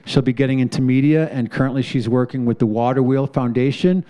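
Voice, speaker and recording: A middle-aged man hosts a live studio talk show, his voice mellow and dark. He talks in a relaxed, rambling way, with plenty of "uh" and "um", and he sounds proud of his guest. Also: medium pitch, brisk. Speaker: show host. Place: community TV studio.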